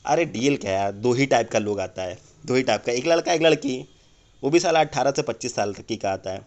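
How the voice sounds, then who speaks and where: A man's voice: mid-range voice, animated, a little fast, darkish tone, lightly acted. A man playing a crude, irritable motivational speaker in a comedy phone-call sketch, ranting bluntly and swearing in a rambling, cynical way. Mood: scornful, boastful.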